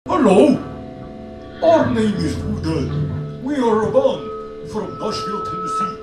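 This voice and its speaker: Male band member, swooping pitch, roomy distant sound, loud instruments behind. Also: huge pitch swings, takes it slowly. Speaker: band musician. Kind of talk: band introduction over music. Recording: distant, echoey, under loud music. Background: loud musical instruments.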